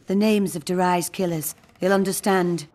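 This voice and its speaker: A woman's voice: fairly low pitch, fairly even intonation, moderate pace, darkish tone, natural voice. An actress playing a shaken, secretive townswoman in a fantasy video game, earnest and urgent. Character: shaken, secretive female informant in fantasy town.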